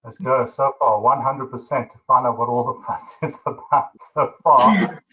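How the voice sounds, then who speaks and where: A man's voice: mid-range voice, fairly even intonation, normal speed, bright, forceful tone, poor audio. A man with a South African accent hosting a webinar, chatty and jokey with lots of fillers. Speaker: webinar host.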